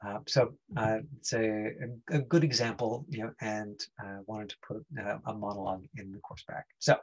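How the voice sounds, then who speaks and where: A man teaching an online acting class informally, explaining in a halting, conversational way. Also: fairly low pitch, steady tone, husky, highs cut off. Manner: halting, explanatory, frequent 'you know'. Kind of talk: informal lesson talk.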